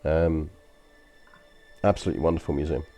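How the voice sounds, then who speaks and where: A man with a London accent, deep-voiced, muses aloud to his stream chat while playing a strategy game. His tone is mellow and unhurried, and his sentences wander with ums and uhs. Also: steady tone, faint music behind. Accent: London English. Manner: rambling and chatty, frequent ums and uhs. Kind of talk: casual gameplay commentary to stream chat.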